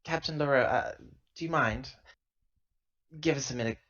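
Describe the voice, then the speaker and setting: A man's voice: medium pitch, normal speed, fairly bright tone, voice pushed up, natural voice. Man playing hesitant crew woman, muffled recording. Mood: hesitant, explaining. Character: Drake, female crew member minding the contract. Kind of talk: improvised tabletop roleplay dialogue. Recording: dull lo-fi laptop recording.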